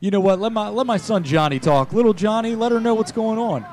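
A man's voice: high-pitched, a little fast, darkish tone, slight background noise. A man in his thirties improvising a prank call as a chatty, rambling, folksy tourist into a close mic. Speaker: prank call show host. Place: small decorated indoor studio. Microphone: close studio mic on a boom arm.

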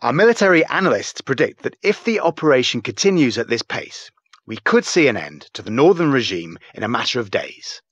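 A man playing a serious male television news anchor in a video game, reading scripted reports in a formal, measured, emphatic manner. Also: medium pitch, big pitch swings, a bit bright, breathy edge, no echo, dull audio. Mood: grave, formal.

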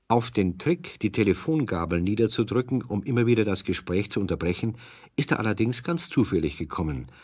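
A man narrating a scripted children's story in a husky voice. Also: fairly low pitch, darkish tone.

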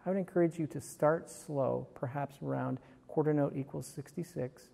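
A middle-aged man, a music instructor, explaining in a measured way in a large classroom. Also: a little high, vocal fry, dark tone. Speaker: horn professor and instructor. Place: large hard-walled music classroom, indoors. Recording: echoey hall-like classroom reverb.

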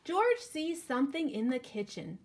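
A woman in her thirties giving an expressive picture-book read-aloud, speaking directly to young children. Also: high voice, moderate pace. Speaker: children's librarian reading at story time. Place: indoors, living room at home.

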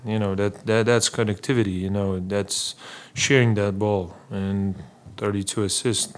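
Man, 30s, low monotone, halting speech, many fillers, postgame press conference, table mic. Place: small press room. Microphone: table mic in front.

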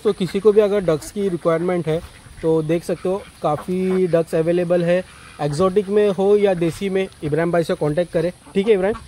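A man's voice: high-pitched, mellow, a little hiss or hum. A man hosting a casual interview on an outdoor farm, friendly and repeating the answers back. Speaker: interviewer and channel host.

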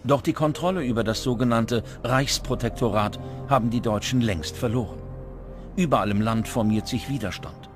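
Male documentary narrator, scripted delivery, medium pitch, music underneath. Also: moderate pace. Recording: music bed behind the voice.

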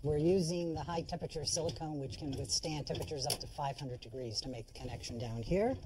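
A man's voice: on the high side, fast talker. Middle-aged installer guy explaining what he's doing, noisy recording with clicking. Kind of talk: explaining work on the job. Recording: clearly noisy work site with clicks.

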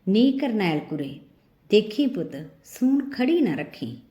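A woman's voice: medium pitch, sing-song, measured pace, dark tone, dull audio. A woman voicing a superstitious, scolding old village mother in an audio story. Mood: cautioning. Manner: rustic scolding. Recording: roomy echo on the voice.

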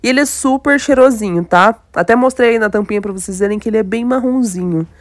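A woman's voice: on the high side, fast talker, no room sound. A young Brazilian woman with a stuffy, nasal voice from a cold, chatting enthusiastically to viewers during a makeup tutorial. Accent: Brazilian Portuguese. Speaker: beauty vlogger. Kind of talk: casual makeup tutorial chat.

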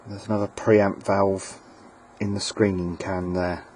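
A man's voice: sits low, flat delivery, a little slow, darkish tone, poor audio. Man narrating casually over background noise. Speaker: presenter demonstrating his own equipment. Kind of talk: casual hobbyist demo narration. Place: indoors at a workbench. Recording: clear background noise, amateur camera audio.

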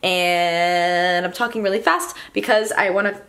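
A woman's voice: fairly low pitch, a little slow, fairly bright tone. A young woman in her twenties, a fitness vlogger, chatting casually to the camera at home, upbeat and full of "like" and "um". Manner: chatty and upbeat, with frequent "like" and "um" fillers. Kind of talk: casual vlog talk to camera. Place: home living room, then a gym. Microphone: camera held or set close to her face.

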